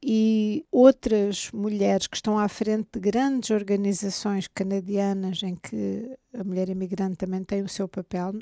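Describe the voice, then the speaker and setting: A woman's voice: medium pitch, level tone, a little slow, few pauses, mellow, no echo, studio quality. An older woman with a European Portuguese accent answers as an interview guest, explaining things through anecdotes and checking in with little tag questions. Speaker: university professor, interview guest.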